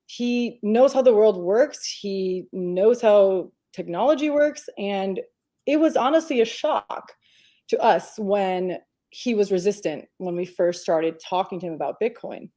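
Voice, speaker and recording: A woman's voice: medium pitch, mellow. A woman from Romania, a podcast panelist, telling a story in a casual panel discussion and acting out family dialogue.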